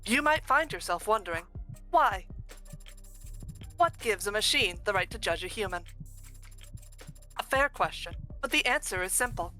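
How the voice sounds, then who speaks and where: A woman voicing a stately female machine judge, speaking in a formal, measured courtroom manner. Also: medium pitch, lively intonation, sharp tone, faint music behind. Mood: solemn. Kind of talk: voice acting a game live on stream.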